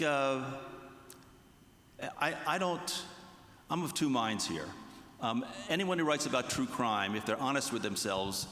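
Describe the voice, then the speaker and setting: A man speaking as a writer on a panel, giving considered commentary in a measured, halting, reflective way. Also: medium pitch, fairly bright tone, a little hiss or hum. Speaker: true crime writer on a panel. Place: large reverberant festival venue. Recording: echoey hall with long reverb.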